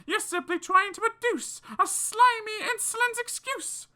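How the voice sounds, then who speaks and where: Man putting on a shrill, scolding mother. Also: measured pace, sharp tone, exaggerated voice. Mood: furious scolding. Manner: shrill, stern, indignant.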